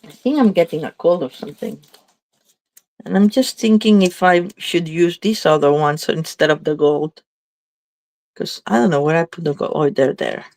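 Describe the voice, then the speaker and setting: A woman's voice: deep voice, normal speed, a little mellow, no echo. A slightly congested woman chatting casually as she demonstrates card making, thinking aloud while she works. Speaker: craft demonstrator. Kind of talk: live crafting demo chat.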